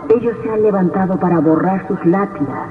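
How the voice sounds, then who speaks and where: An actor playing Death, a solemn, imperious male reaper, in slow old horror radio drama style. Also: high-pitched, a crowd in the background, close to an everyday voice. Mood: solemn, commanding. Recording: cavernous muffled old radio with music.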